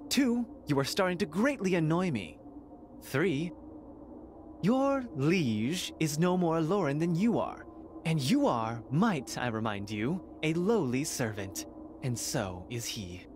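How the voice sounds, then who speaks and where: An actor playing an arrogant, mocking noble heir in a video game, with theatrical, smug sarcasm. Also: male voice, a little high, big pitch swings, slow, a little hiss or hum. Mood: condescending.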